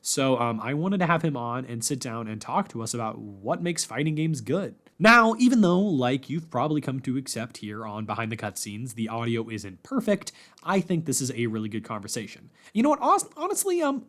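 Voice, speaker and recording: A man's voice: mid-range voice, a bit bright. Guy hosting a podcast, chatty and informal, puts some bounce on his words. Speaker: podcast host. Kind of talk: casual podcast talk.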